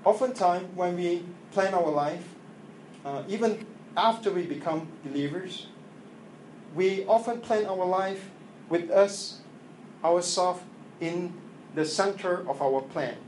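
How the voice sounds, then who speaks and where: A man whose first language is Chinese, preaching a sermon in English after an opening prayer, halting and earnest with many fillers and restarts. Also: high voice, a little mellow. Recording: echoey room with faint ambient noise.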